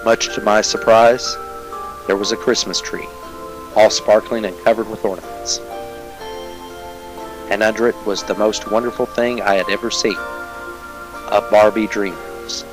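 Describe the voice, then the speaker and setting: A man playing a world-weary, deadpan police detective narrating a hardboiled crime parody, with music behind. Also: lowish voice, unhurried, pauses often, voice pushed down, close to an everyday voice, poor audio. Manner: deadpan, clipped.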